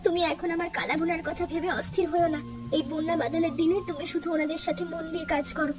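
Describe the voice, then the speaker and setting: Woman voicing a very high-pitched devoted village wife. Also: steady tone, quick pace. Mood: earnest, caring. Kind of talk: dubbed cartoon dialogue. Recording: music under the voice.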